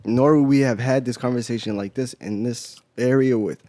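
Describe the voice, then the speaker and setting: A man hosting a podcast interview in a casual, earnest way, asking questions into a studio boom mic. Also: normal speed, warm tone, no room sound. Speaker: podcast host. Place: small home podcast studio, indoors. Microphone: studio condenser on boom arm, headphones.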